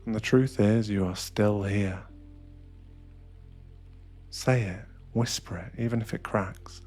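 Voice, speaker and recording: Male meditation guide, lowish pitch, hushed and deliberate dramatic delivery, intimate ASMR narration with faint music underneath. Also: steady tone.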